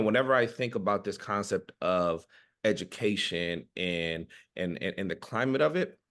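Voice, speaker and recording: Low-voiced man giving informal personal testimony. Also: fairly bright tone. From Guatemala and Oakland, California. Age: 30s. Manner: informal, halting, repeats words. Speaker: educator and family organizer.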